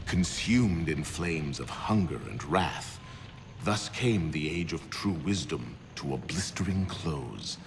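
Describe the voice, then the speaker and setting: Extremely deep, solemn storyteller. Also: male voice, animated, background noise, full character voice. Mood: solemn, ominous. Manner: slow, solemn, portentous. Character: grave, solemn male narrator of a fallen order. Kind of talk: scripted dramatic game narration.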